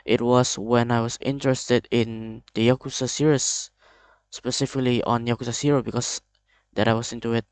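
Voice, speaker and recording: Halting young man with Indonesian accent. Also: mid-range voice, flat delivery, darkish tone, dry recording. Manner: halting, hesitant, many restarts. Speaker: video creator. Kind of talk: confessional vlog.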